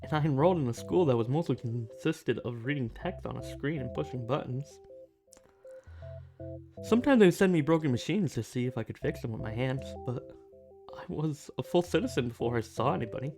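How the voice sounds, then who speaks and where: A woman playing a shy, snarky, touch-starved alien in an ASMR roleplay audio drama, with a halting, flustered delivery. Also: extremely low pitch, expressive. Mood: earnest, wistful. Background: soft music.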